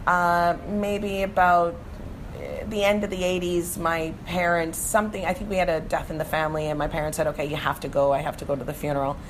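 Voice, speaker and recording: A middle-aged woman from Jamaica, telling her story as a guest in relaxed, casual talk. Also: fairly low pitch, brisk, slight background noise, rough recording. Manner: relaxed storytelling, frequent restarts. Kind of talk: casual interview chat.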